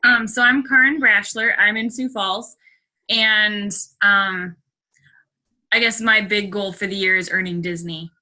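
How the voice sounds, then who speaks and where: A woman's voice: medium pitch, fairly even intonation, unhurried, pushed and bright, poor audio. A woman on a team video call, introducing herself cheerfully.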